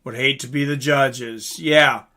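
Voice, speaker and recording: A man's voice: mid-range voice, fairly even intonation, measured pace, sharp tone. A man giving casual live fight commentary in an informal, conversational way, like a livestream host.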